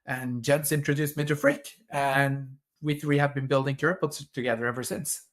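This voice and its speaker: A man with a Norwegian accent speaks English as a second language. He tells his story eagerly as an interview guest, with a few stammered restarts. His pitch sits in the middle range. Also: fairly even intonation, normal speed, a bit bright. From Norway. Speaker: co-founder guest. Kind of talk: conversational interview answers.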